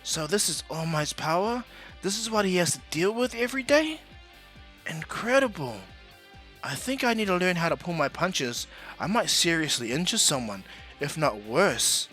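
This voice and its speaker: A man's voice: a little high, very animated, normal speed, sharp tone, faint music behind, voice pushed up. A man voicing a nervous, earnest teenage boy hero in an anime story, hesitant and full of wide-eyed exclamations. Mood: awed, afraid.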